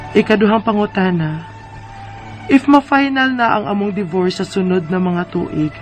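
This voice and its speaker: A woman's voice: medium pitch, a little mellow, natural voice. A woman playing a troubled young married woman who reads out a letter asking for advice. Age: 20s. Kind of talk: dramatized letter reading with questions.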